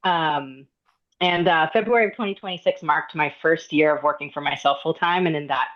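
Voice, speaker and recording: A woman, an independent designer, introducing herself earnestly with frequent pauses on a video call. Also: low voice, muffled recording. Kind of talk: prepared self-introduction.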